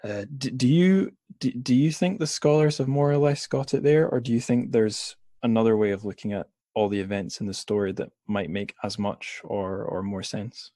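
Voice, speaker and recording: Lowish-voiced man thinking aloud. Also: steady tone, moderate pace, few pauses, warm tone, no room sound, studio quality. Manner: rambling, tentative, thinking aloud. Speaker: podcast host. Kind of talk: casual literary discussion.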